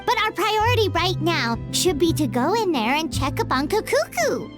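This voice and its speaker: Tiny squeaky fairy game sidekick, super high, chatty and excitable, swoops all over, music behind. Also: female voice, moderate pace. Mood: exasperated, urgent. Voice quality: squeaky, childlike. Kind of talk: video game cutscene voice acting.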